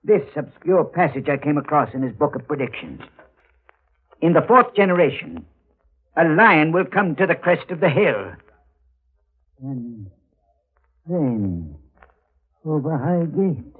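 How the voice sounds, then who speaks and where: An actor playing an earnest old town librarian with formal, halting, bookish diction in 1940s radio drama style. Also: male voice, fairly high pitch, animated, husky. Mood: solemn. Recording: muffled vintage radio recording.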